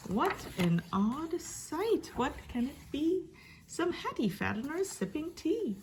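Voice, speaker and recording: A woman storyteller giving a dramatic reading of a rhyming picture book, expressive, with hushed and emphatic passages. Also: high-pitched, slow pace. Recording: faint background noise.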